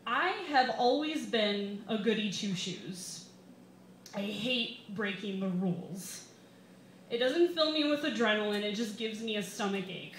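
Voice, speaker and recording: A young American woman reading a comic personal essay to a live audience, with wry, deliberate emphasis. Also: medium pitch, animated. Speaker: stand-up comedian reading an essay. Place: small brick-walled bar, indoors. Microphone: stand microphone, camera recording. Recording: roomy live bar recording, some background noise.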